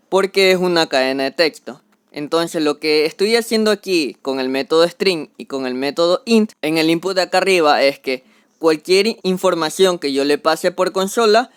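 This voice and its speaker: Young male tutor, screen-capture lesson, patient step-by-step delivery, slightly high clean voice, dry close mic. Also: fairly bright tone, clean tone, no echo. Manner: step-by-step explanatory, talks through each action. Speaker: programming tutor. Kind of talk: screen-recorded coding tutorial.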